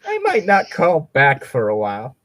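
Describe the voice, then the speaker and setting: A man playing a menacing mob boss griping in gruff, threatening gangster talk, in a comedic improvised bit. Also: mid-range voice, wildly swooping pitch, moderate pace, a bit bright, no room sound, clean studio sound, pitched above the performer's own voice. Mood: indignant.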